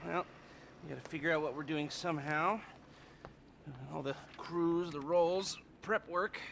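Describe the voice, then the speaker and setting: A video game heist mastermind, quiet and methodical, light background hiss. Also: male voice, a little high, a little slow, creaky. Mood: planning. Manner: soft-spoken, dry, hesitant with fillers. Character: nerdy male heist planner, dry and methodical.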